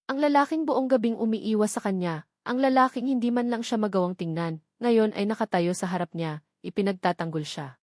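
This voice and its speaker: A woman's voice: mid-range voice, a little mellow, dry recording, clean studio sound. A woman reading scripted narration of a dramatic love story.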